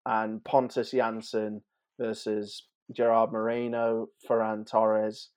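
A man's voice: on the low side, monotone, slow pace, darkish tone, clean tone. Chatty man in his thirties, casual podcast chat. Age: 30s. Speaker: sports betting podcast host. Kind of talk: casual football preview chat.

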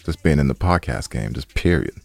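A man's voice: extremely low pitch, lively intonation, fairly quick, gravelly, dry recording. A man co-hosting a podcast with casual, colloquial, joking and playfully defensive banter. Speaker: podcast co-host.

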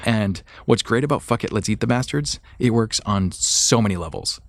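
A male podcast host chats informally to his listeners. His voice is a bit low with very lively intonation, and the sound is dry and close. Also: moderate pace, no room sound. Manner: informal, chatty, addresses listeners directly. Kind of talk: casual solo podcast chat.

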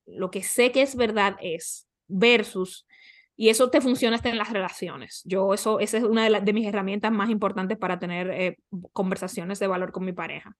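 Woman coach giving conversational advice, medium pitch. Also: fairly even intonation, brisk. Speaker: podcast guest, coach.

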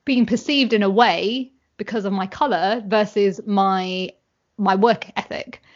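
A young woman, talking as a guest in a casual conversation, animated and full of fillers. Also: mid-range voice, a little slow, muffled recording. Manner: animated, chatty, many fillers and "like"s.

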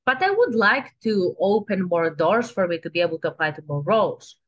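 A woman's voice: lowish voice, very animated, a little fast, lo-fi sound. A woman with a Greek accent, a trained actress and guest on a podcast, talking casually and often restarting her sentences. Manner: chatty, restarts sentences, asks "you know?" often.

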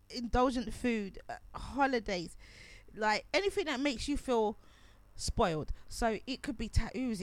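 A woman's voice: on the high side, no room sound. A woman hosting a pre-recorded radio show, chatting casually about astrology while losing her voice and coughing. She rambles and corrects herself as she goes.

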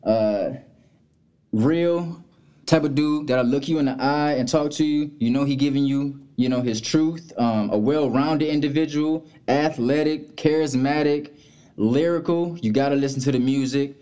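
A man hosting a podcast, from Florida, giving a hype, drawn-out intro and asking relaxed questions. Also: medium pitch. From Florida, USA. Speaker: podcast host. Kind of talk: casual interview.

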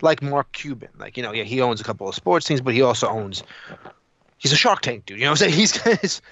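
A man's voice: medium pitch, animated, fast, bright, forceful tone. A man co-hosting a podcast, chatting casually about his opinions in a blunt, emphatic, profane way. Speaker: podcast co-host.

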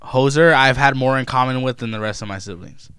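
A young man talking in casual podcast chat, loose, profane and rambling, trailing off into asides. His voice is smooth and resonant, and the recording is close and dry with no room sound. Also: mid-range voice, a little fast, a bit bright, dry recording.

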